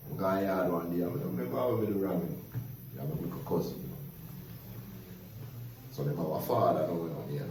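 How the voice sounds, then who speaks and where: A Jamaican man tells stories in a lively, laughing way, his voice sitting low and deep. He is caught from some distance away, so the sound is very roomy and echoey, with a faint hiss underneath. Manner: lively, laughing, animated storytelling in patois. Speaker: guest storyteller. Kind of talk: casual storytelling chat.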